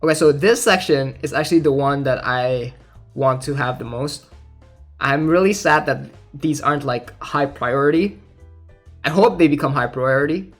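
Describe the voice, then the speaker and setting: A young man with a slightly high voice talks like a gaming YouTuber going over news. His delivery is informal and a little hesitant, as if working out his thoughts aloud. Faint music plays behind him. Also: normal speed. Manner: informal, hesitant, thinking out loud. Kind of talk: casual game news commentary, partly reading aloud.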